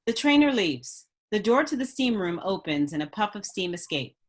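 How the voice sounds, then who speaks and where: A woman's voice: medium pitch, very animated, moderate pace. A woman reading screenplay stage directions as a narrator at a script table read.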